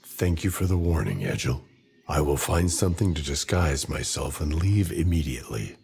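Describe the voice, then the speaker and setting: Very deep, gravelly ancient fantasy being. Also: male voice, animated, moderate pace, a little mellow, heavily acted. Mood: resolute. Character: ancient non-human male being, earnest and resolute. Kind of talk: fantasy game cutscene acting.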